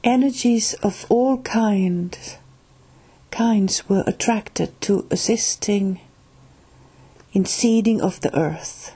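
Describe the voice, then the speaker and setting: Woman, Finnish accent, Finnish native speaker, reading aloud, mellow tone, careful and halting, restarts on tricky words. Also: mid-range voice, slightly rough. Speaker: reader of a spiritual book.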